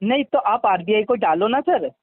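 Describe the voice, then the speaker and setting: A man's voice: high voice, lively intonation, normal speed, no room sound. A man working as a recovery agent, arguing stubbornly and repetitively on a recorded phone call, goading the customer. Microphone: telephone line.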